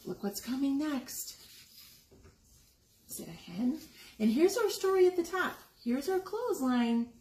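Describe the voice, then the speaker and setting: High, animated woman reading a picture book. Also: measured pace, long pauses. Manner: expressive, explaining, asking the listener questions. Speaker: story time reader. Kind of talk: picture-book read-aloud for young children, with asides on the pictures. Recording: echoey room with background noise.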